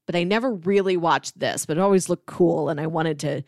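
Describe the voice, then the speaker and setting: A woman's voice: lowish voice, a little fast, a little mellow, no room sound, clean studio sound. A woman co-hosting a podcast, talking in a casual, conversational way. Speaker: podcast co-host.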